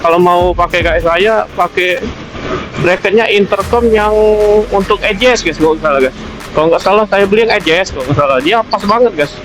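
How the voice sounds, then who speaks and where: Male motovlogger, high voice, informal and halting delivery that often circles back on itself, music under the talk. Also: poor audio. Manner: halting, repetitive, self-questioning. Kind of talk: casual vlog chat.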